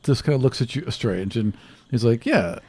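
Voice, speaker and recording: A man's voice: medium pitch, moderate pace, dark tone, slight background noise. A man improvising narration as game master of a tabletop roleplaying game.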